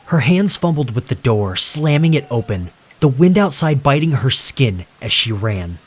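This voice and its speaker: A man narrating a scripted horror story in a suspenseful, deliberate manner with dramatic pauses. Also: mid-range voice, big pitch swings, slight background noise.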